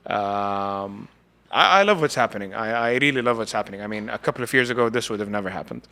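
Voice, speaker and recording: A man's voice: on the low side, lively intonation, fast talker, pushed and bright. A man from Arabia, hosting a casual podcast chat and talking earnestly. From Saudi Arabia. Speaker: podcast host.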